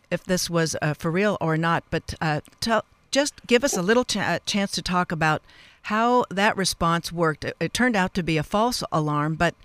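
A middle-aged woman hosting a radio interview, speaking in a halting, rambling way and often interrupting her own questions. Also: on the low side, clear, resonant tone, no echo. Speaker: radio show host. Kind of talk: unscripted interview moderating. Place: radio studio.

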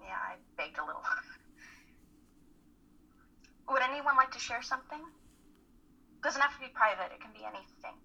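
A woman's voice: on the high side, expressive, fast talker, creaky, sharp tone, slightly rough, heavily acted. A woman playing an earnest, hesitant young college student who leads a support group in an amateur radio play reading. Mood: gentle, inquiring. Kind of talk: live dramatic play reading. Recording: muffled, phone-like call audio.

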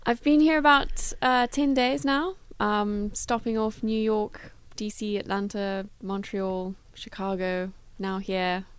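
A woman from Zimbabwe, a guest in a studio interview who talks in an even, unhurried way about her work. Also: medium pitch, smooth. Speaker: guest, travel philanthropy worker.

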